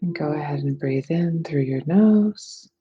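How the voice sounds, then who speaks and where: A person leading a guided meditation in a soothing, unhurried manner. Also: mid-range voice, muffled recording. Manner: soothing, unhurried phrasing with breathy releases.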